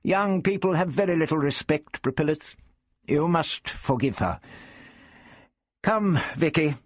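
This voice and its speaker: A man's voice: on the high side, expressive, measured pace, no echo, clean studio sound, voice pushed up. A man voicing a proud, testy old doctor in an audiobook story, with sniffy, questioning interjections. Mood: commanding.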